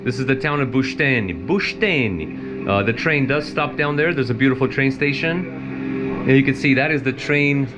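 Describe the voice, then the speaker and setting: A man's voice: medium pitch, normal speed, muffled recording, music in the background. A middle-aged man from Romania, chatting casually and rambling as he streams a flight and talks to his chat, laughing often. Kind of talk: casual live-stream commentary.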